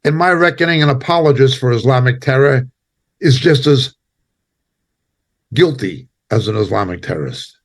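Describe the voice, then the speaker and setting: A man giving impassioned spoken commentary as a preacher, indignant and emphatic, speaking in short halting phrases. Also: mid-range voice, lively intonation.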